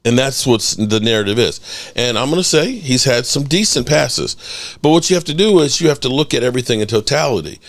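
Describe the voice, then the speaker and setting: A middle-aged man runs a sports call-in show, joking, cursing and talking with a lively, wide-ranging pitch. His voice sits at an average height and sounds dry and close, with no room around it. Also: a little fast, no room sound. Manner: animated, joking, swears freely. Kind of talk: casual call-in show talk.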